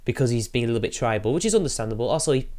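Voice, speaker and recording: A man talking casually on a live stream, with a middling pitch and a sniffle from allergies. He rambles from point to point and talks straight to his viewers between thoughts. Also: lively intonation, very fast. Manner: rambling, talks to chat while fiddling with software.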